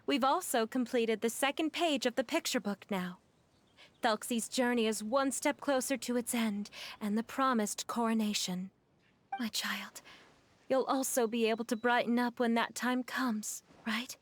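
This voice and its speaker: A woman playing a gentle, courteous mother in a video game, speaking in a gracious, polite storytelling manner. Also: on the high side, a little slow, a little hiss or hum, close to an everyday voice. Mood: tender, grateful. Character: gentle, courteous mother telling a fairy tale to friends. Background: music.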